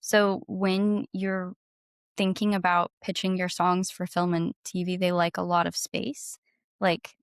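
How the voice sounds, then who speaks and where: A woman with a gravelly, fairly low voice talks as a guest in a casual interview. She is effusive and informal, often dropping "like" into her sentences. The sound is dry and close. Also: completely flat delivery, unhurried, no room sound. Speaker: interview guest, singer-songwriter. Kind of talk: casual chat.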